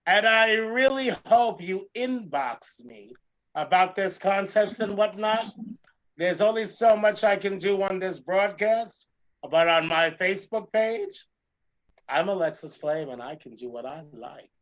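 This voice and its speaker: Male drag comedian host, high voice, gravelly edge, theatrical, emphatic, repetitive phrasing, slightly muffled audio. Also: expressive, unhurried, fairly bright tone. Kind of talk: animated talk show rant.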